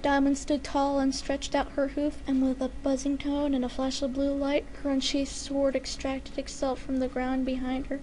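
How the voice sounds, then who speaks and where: A woman with a very high voice narrates a story in dramatic fashion. Her delivery is deliberate, and there is clear noise in the recording. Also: monotone, warm tone, poor audio. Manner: deliberate, careful enunciation. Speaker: fan fiction reader.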